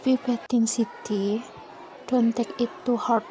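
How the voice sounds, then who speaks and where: A young woman plays a caring schoolgirl friend in a radio drama. The voice is fairly high and slow, over faint crowd noise. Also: fairly even intonation, warm tone, natural voice. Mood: soothing. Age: young adult.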